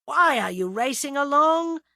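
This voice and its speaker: A woman voicing a polite, composed, teasing upper-class ex-military man in an audiobook, with measured delivery. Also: medium pitch, pushed and bright, dry recording, clean studio sound. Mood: plaintive. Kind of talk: audiobook character acting.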